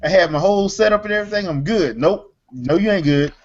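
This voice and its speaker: A man's voice: sits high, fast talker, muffled recording. A man hosting a casual gaming chat in a slangy, easygoing, joking way. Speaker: podcast host.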